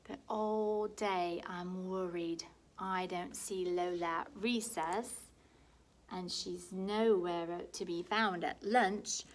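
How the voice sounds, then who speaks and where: A woman teacher reading a picture book aloud to young children, animated, with hushed, whispered asides to her listeners. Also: fairly low pitch, slow pace, slight background noise, low-quality recording.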